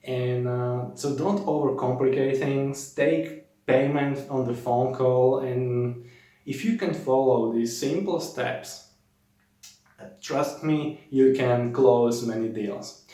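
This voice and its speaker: A man giving informal sales coaching to the viewer, speaking in a halting, repetitive way and checking in as he goes. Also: mid-range voice, steady tone, mellow. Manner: halting, repetitive, often ends points with "okay?". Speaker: online sales coach, video host. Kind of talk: informal instructional talk to camera. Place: reverberant room. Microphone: placed at a distance from the speaker. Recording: very echoey room, distant mic.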